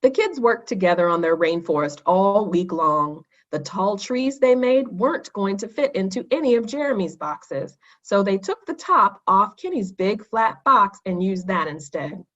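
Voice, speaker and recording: A woman reads a scripted children's story aloud with an expressive delivery. Her voice sits at an average pitch, and the recording is a little dull and lo-fi. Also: moderate pace, few pauses. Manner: expressive read-aloud with acted dialogue. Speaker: storybook reader.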